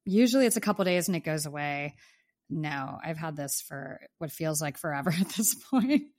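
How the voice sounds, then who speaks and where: Low-voiced woman podcast host with the flu. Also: lively intonation, moderate pace. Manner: warm, grateful, chatty. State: sick with the flu, may cough. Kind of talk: casual podcast chat. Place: home in Los Angeles.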